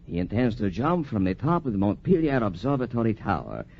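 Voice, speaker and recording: A muffled 1940s radio recording of a fussy physician. He scolds with hesitant exclamations and widely swinging pitch, scornful of anyone who takes risks. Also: big pitch swings, fairly quick, a little hiss or hum. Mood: dismissive. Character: fussy, disapproving male French physician, scornful of risk-takers. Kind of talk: 1940s radio drama acting.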